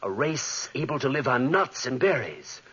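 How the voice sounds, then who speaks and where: Young obsessed scientist, proud and intense, swooping delivery, dull old radio horror recording. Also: male voice, mid-range voice, very animated, moderate pace, a bit bright, breathy edge. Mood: fervent. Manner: intense, dramatic. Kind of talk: 1940s radio horror acting.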